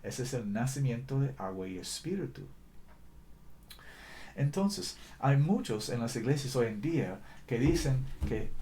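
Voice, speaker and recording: A man preaching a sermon in a language that is not his first, earnest and deliberate, often correcting himself mid-sentence. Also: mid-range voice, a little mellow, a little hiss or hum. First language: not Spanish.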